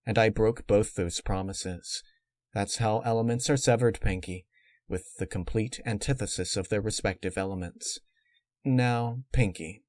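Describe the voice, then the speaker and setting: A man voicing a cold, calculating female unicorn villain who explains menace in a measured, matter-of-fact way. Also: on the low side, darkish tone, no echo, clean studio sound, close to an everyday voice.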